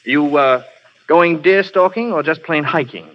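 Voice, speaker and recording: An actor playing a calm, wry gentleman drawn into a spy plot in a 1930s radio drama. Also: fairly high pitch, unhurried, natural voice. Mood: wry, soothing. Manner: easygoing and wry. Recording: dull old radio broadcast.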